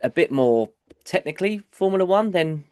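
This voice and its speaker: A man's voice: sits high, lively intonation, moderate pace, mellow, clear, resonant tone, dry recording, studio quality. A man hosting a podcast in casual chat, congested from a cold, chatty and repeating himself. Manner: chatty, repeats words, says mate often. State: congested, stuffy-nosed. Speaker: podcast host.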